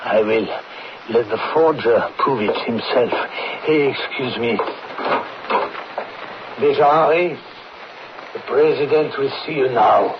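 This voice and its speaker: A man in an old radio drama playing an earnest, assured ally who speaks in a measured, insistent way. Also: mid-range voice, lightly acted. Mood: assured, accusing. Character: earnest, persuasive male ally, firm and assured. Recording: very noisy muffled old radio recording.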